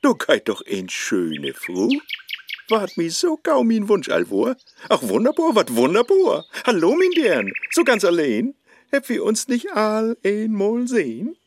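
An actor playing a clumsy, lovestruck male scholar who chases a woman, speaking with a Low German accent in a bumbling, eager, theatrical way, in a radio play. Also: huge pitch swings, a little slow, slight background noise, exaggerated voice. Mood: disgusted, then smitten.

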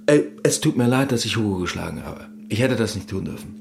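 Strained, hot-tempered husband, animated pitch. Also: male voice, a little fast, vocal fry, slight background noise. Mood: strained, apologetic. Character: hot-tempered, stressed husband forcing out an apology. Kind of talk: German radio drama acting.

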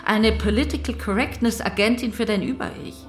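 A woman's voice: fairly high pitch, fairly quick, lightly acted. Actress as blunt sardonic lady in her 60s, dry delivery, bit roomy, music behind. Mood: dryly mocking. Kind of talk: naturalistic radio drama acting.

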